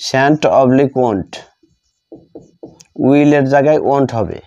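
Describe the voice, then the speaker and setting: Slow, dark-voiced Bengali man teaching. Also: mid-range voice, muffled recording, faint music behind. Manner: deliberate, repetitive, spelling out each phrase. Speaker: English grammar teacher. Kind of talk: grammar lesson, dictating while writing.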